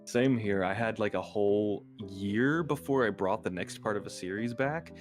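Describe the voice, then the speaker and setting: Male streamer guest, lowish voice, hesitant and rambling, soft guitar music underneath. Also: normal speed. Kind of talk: casual livestream chat.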